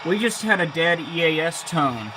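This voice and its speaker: A man's voice: fairly high pitch, expressive, moderate pace. An American man giving casual, informal commentary as he hosts a weather livestream from a room at home. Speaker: livestream host, amateur storm watcher. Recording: noisy amateur livestream audio.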